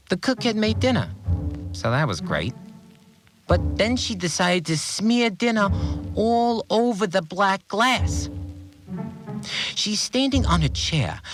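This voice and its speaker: An obsessively orderly, short-tempered cleaner in a podcast drama monologue. His fairly high voice swings widely in pitch as he speaks in simple, blunt, sweary sentences. Music plays clearly behind him. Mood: exasperated, indignant. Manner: blunt, plain-spoken, indignant, sweary. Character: obsessively orderly, irritable male cleaner, simple-minded rule follower. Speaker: voice actor in a fiction podcast. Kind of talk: first-person audio drama monologue.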